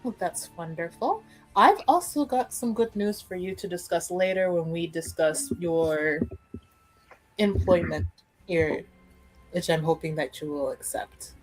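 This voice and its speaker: A woman's voice: lowish voice, a little slow, soft background music, natural voice, poor audio. A woman voicing a friendly, polite woman in a fantasy roleplaying game, cheery and courteous. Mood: cheerful, welcoming. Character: friendly, polite woman offering work and lodging.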